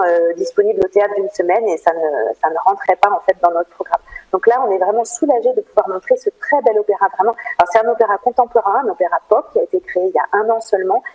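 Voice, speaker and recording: A woman answering radio interview questions in an explanatory way, often pausing as she searches for words. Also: mid-range voice, warm tone, poor audio. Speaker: theatre programme manager, interview guest.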